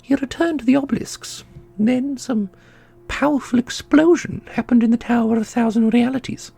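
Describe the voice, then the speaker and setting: Lady acting as an ancient temple guardian woman, formal n gentle, husky creaky voice, sad, fantasy audio drama w/ music. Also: a little high, moderate pace. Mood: sorrowful, solemn. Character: ancient, sorrowful, dignified female custodian of an altar.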